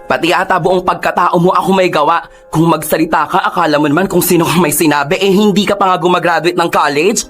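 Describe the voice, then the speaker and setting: A bitter, guilt-ridden Filipino lover who drinks, in a radio drama. His voice is fairly high for a man and emotionally heavy, with music behind it. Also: fairly even intonation, quick pace, fairly bright tone. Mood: bitter, scornful. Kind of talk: Tagalog radio drama acting.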